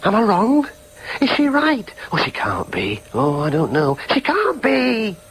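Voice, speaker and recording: An insecure young man arguing his case in a radio play. His voice is fairly high and leaps about expressively, emphatic one moment and anxiously self-questioning the next. The broadcast recording has clear noise behind it. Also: huge pitch swings, a little slow, fairly bright tone, heavily acted, rough recording. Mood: agitated, doubting. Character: argumentative, insecure grown son, theorising then doubting himself. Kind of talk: British radio drama acting with inner monologue.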